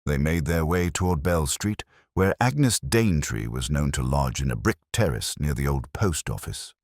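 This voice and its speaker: A man's voice: rumbling low, lively intonation, moderate pace, darkish tone, dry recording, clean studio sound. A man reading a scripted mystery story as a narrator. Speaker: audiobook narrator.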